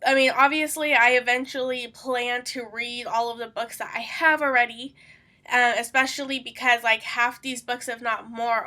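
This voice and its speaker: A woman's voice: on the high side, sharp tone. A young woman with a stuffy, congested voice from a cold, chatting casually to her channel about her reading plans, rambling with lots of fillers. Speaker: booktuber host. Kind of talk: casual chat to camera.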